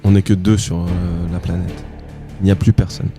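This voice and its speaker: A low-pitched man leads a loose, teasing conversation among friends, stretching out a silly hypothetical and laughing as he goes. Music plays clearly behind the chatter. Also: flat delivery, brisk. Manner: teasing, sets up games, laughs a lot. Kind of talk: casual chat. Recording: music playing loudly behind.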